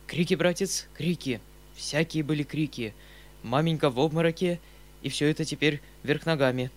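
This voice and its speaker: Audiobook role: male reader as overwrought kindly uncle, higher-pitched, breathless, emphatic, insisting on his authority. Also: natural voice. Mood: tragic, resolute. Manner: emphatic, breathless, rushed exclamations in short bursts. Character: agitated middle-aged gentleman uncle, kind-hearted, flaring into commanding anger.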